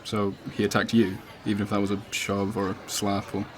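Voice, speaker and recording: A man's voice: fairly low pitch, completely flat delivery, fairly quick, slight background noise, natural voice. A man with a British accent playing a casual but persistent police detective in a radio play, questioning politely and insistently. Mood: polite, pressing. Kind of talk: student radio drama acting. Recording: cafe crowd chatter behind.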